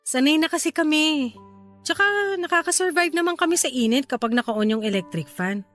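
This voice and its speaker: Sweet evasive pinay girlfriend, radio drama, high pitched, apologetic and affectionate. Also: fairly quick, fairly bright tone, faint music behind. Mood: sweet, coaxing. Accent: Filipino. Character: sweet, evasive young girlfriend placating her partner. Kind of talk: Filipino radio drama acting.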